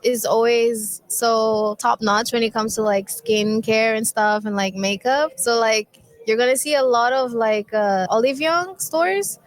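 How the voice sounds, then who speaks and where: A woman's voice: on the high side, unhurried, a little hiss or hum. A woman from Mozambique answering a street interview casually, using many fillers.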